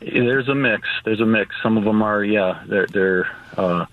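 A man calling in to a radio show, asking his question hesitantly with many pauses and restarts. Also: on the low side. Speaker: caller. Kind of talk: call-in question. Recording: dull phone line.

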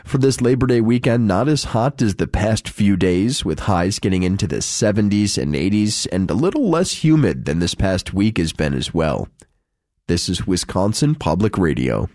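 A man announcing station promos and the weather on public radio in a steady announcer style. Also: lowish voice, moderate pace, no room sound, clean studio sound. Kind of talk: radio continuity and weather report.

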